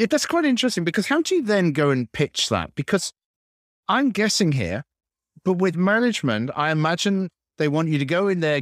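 A man's voice: fairly high pitch, no echo, studio quality. A man interviewing conversationally as a host, probing and rephrasing what he hears.

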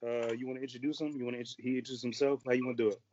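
A man's voice: mid-range voice, level tone, a little mellow. A man co-hosting a podcast, musing casually with many fillers. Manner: quick, filler-heavy musing. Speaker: podcast co-host. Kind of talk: casual chat. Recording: dry, dull lo-fi sound.

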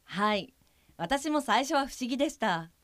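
A woman playing a polite, frank guide for blind people in an audio drama, speaking in a measured, matter-of-fact way with a firm edge. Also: a little high, expressive, fairly bright tone, dry recording. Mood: earnest.

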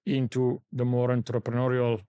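A man's voice: mid-range voice, completely flat delivery, moderate pace, dark tone, clear, resonant tone, no room sound. A middle-aged man from Italy with an Italian accent, a podcast guest in a studio recounting his career in a reflective, think-aloud way. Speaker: guest, company founder. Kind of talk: podcast interview.

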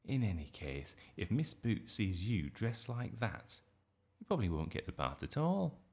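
A man's voice: on the low side, big pitch swings, a little slow, darkish tone, voice pushed up. A man voicing a weary, long-suffering mother in a children's audiobook, reasoning with exasperation. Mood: exasperated.

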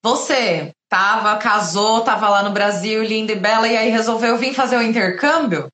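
A woman's voice: a little high, moderate pace, a bit bright, echoey room. A woman in her thirties hosting a live stream, interviewing a guest in a friendly, enthusiastic way. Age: 30s.

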